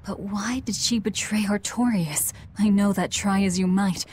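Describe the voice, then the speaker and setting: A woman's voice: medium pitch, fairly even intonation, unhurried, a little mellow, a little hiss or hum, close to an everyday voice. A woman voicing a driven, brooding young avenger with a terse, hard-edged manner in a video game.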